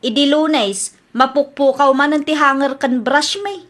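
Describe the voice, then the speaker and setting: Casting: woman as scolding, insistent wife for radio comedy drama; high pitch, sharp bright tone. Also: a little slow. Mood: accusing. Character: nagging, suspicious wife accusing her neighbour of theft.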